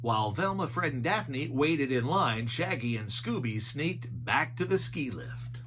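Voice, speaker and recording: Animated, slow-paced man reading a children's story. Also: medium pitch, slight background noise.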